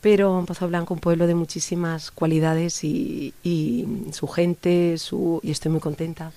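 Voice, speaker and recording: A woman's voice: on the low side, flat delivery, measured pace, dark tone, no echo. A middle-aged woman from Barcelona, a guest in an interview, talking at length in an earnest, rambling way with many restarts. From Barcelona, Spain. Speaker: interview guest, youth organizer. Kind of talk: casual conversational interview.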